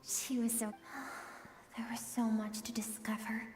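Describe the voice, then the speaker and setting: A woman voicing a solemn game storyteller, narrating a tragic past in measured, wistful, sometimes hushed tones. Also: fairly high pitch, little pitch movement, in a whisper, lightly acted. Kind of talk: scripted dramatic game narration. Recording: echoey long reverb, music behind.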